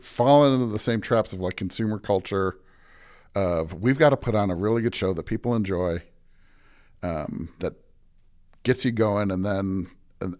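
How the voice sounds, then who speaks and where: An American man, a pastor, talking casually and reflectively as an interview guest, circling back to rephrase as he thinks aloud. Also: lowish voice.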